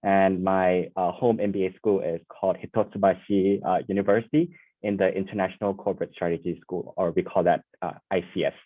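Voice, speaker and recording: A man from Thailand introduces himself as a student panelist on a video call. His low voice comes through a tinny, phone-like line that sounds completely dry. He talks in a relaxed way, with fillers like um and like. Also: no room sound.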